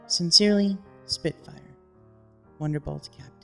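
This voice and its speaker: A woman's voice: on the low side, measured pace, creaky, fairly bright tone, soft background music. A woman playing a confident Pegasus flight captain, formally reading out a congratulatory letter in a cartoon fan story. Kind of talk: scripted character reading.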